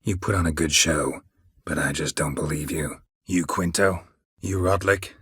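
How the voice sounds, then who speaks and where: An actor playing a gruff, weary, sardonic monster hunter for hire in a video game, with terse, deadpan delivery and a low gravelly voice. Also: male voice, normal speed, dry recording, heavily acted. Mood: casual, probing. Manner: terse, dry, deadpan, clipped sentences. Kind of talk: video game character dialogue.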